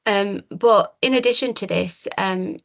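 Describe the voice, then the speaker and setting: A young woman whose first languages are Urdu and Punjabi, giving a measured slide talk as a community mental health worker, with frequent ums. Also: mid-range voice, vocal fry. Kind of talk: presentation with slides.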